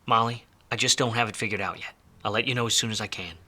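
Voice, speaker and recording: Man acting a hardboiled noir detective, low and sharp. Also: fairly even intonation, fast. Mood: brooding, wry. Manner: wry, slangy, tough-guy delivery. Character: hardboiled, washed-up male private detective, wisecracking and world-weary. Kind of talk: film noir radio play, first-person narration and dialogue.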